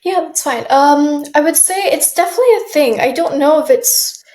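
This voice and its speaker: A young woman from Malaysia, a guest on an interview show, chatting casually with frequent restarts and soft laughs. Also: sits high, moderate pace. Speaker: guest, aspiring model. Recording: roomy echo.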